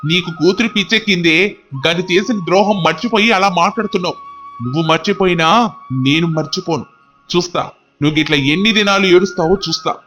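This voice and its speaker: A man's voice: high voice, brisk, a bit bright, soft background music. A man playing a stern, anguished father and husband, scolding and emotional, in an audio story. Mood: angry, bitter. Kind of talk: dramatic audio story dialogue.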